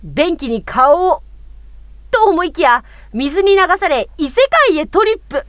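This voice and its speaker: A woman's voice: high voice, very animated, a little slow, dry recording. A woman co-hosting a casual chat, sometimes reading aloud and commenting as she goes. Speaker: podcast co-host.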